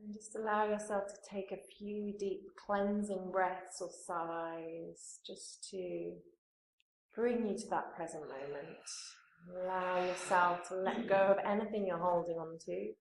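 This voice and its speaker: A woman yoga teacher leading a guided meditation in a calm, soothing manner, with pauses between phrases. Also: fairly low pitch, creaky. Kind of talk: guided meditation, gentle spoken instruction. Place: indoor room, live training course class. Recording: roomy echo, live class recording.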